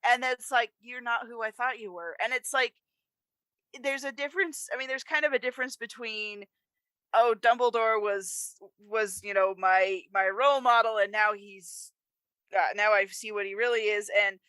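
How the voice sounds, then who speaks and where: Woman podcaster w bright forward voice, super emphatic casual chat, really dry close sound. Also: a little high, steady tone, moderate pace, clean tone, no room sound. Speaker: podcast co-host. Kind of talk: casual chat, film discussion.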